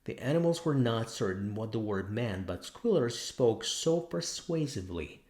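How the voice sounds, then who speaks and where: A man's voice: medium pitch, expressive, moderate pace, a little mellow. A man reading a novel aloud as scripted narration. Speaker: audiobook narrator.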